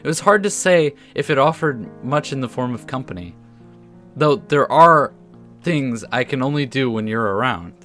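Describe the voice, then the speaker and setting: A man presents a let's play, switching between casual remarks and narrated story text. His voice sits at a medium pitch, and he sometimes trips over words as he reads. Gentle guitar music plays behind him. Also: lively intonation, normal speed. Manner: casual, stumbles over words when reading aloud. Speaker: gaming video host. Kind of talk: let's play commentary mixed with reading story text aloud. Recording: music under the voice.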